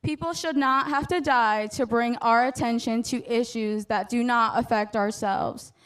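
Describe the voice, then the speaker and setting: Young female student speaker, graduation address, earnest and measured, higher voice, clean smooth tone. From United States. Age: young adult. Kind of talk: prepared graduation speech.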